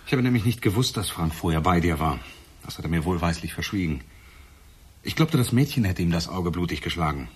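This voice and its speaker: An actor playing a calculating, self-justifying grown son in a German radio drama, measured and evasive in his reasoning. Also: low-pitched, animated, husky. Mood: guarded, defensive.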